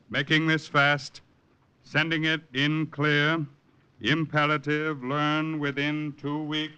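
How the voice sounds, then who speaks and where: An actor playing an authoritative American intelligence colonel, clipped and military, in 1950s radio drama style. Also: male voice, on the high side, sharp tone, heavily acted. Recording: noisy vintage radio broadcast.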